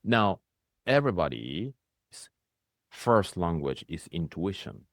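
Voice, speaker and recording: A man with a low voice and a Japanese accent teaches in an easy, reflective way. His voice often lifts in short bursts and then settles. The sound is dry and close, with little room around it. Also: big pitch swings, takes it slowly, fairly bright tone, no room sound. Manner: speaks in rising bursts, often ends with "right?". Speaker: spiritual coach hosting a conversation.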